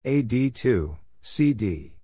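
A man reading scripted radio practice exchanges as an instructor, in short, deliberate, repeated phrases. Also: medium pitch, big pitch swings, warm tone, slight background noise. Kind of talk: scripted radio call-sign drill, short spoken phrases.

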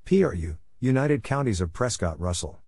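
A man reading short scripted items in a plain, deliberate announcing manner, spelling out letters and naming places. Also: lowish voice, expressive, gravelly, a little mellow, slightly rough, dry recording, clean studio sound. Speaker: Morse code practice narrator.

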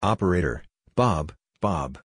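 A man reading out short scripted radio phrases as a practice narrator, in a measured, clipped, phrase-by-phrase way. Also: low voice, animated, noisy background.

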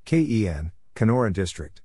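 A man with a somewhat low voice reads from a script for a practice drill. He delivers short, separate items, either spelling out letters one by one or announcing place names as an instructor would. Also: big pitch swings, moderate pace, mellow, husky. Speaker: Morse code practice instructor.

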